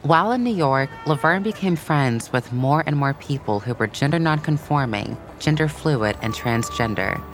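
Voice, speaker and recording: A woman with a very deep voice narrates a scripted story for children. Music plays clearly behind her as she reads. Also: lively intonation, moderate pace, hardly any pauses.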